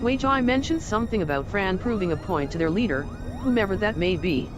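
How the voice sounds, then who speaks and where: An actress playing a proud, stern warrior envoy of a resistance in a fantasy video game, with clipped, haughty, formal delivery. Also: female voice, mid-range voice, animated, a little fast, natural voice. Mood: skeptical. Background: loud game music.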